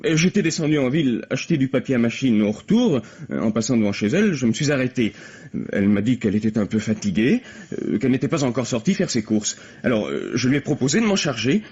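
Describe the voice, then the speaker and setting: An actor playing an eager, nervous, self-absorbed writer in a vintage radio mystery, stammering and hesitant. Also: male voice, medium pitch, sing-song, quick pace, darkish tone, slightly rough. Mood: uneasy, defensive. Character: nervous, eager, self-absorbed struggling young male playwright. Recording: dull, lo-fi old radio recording.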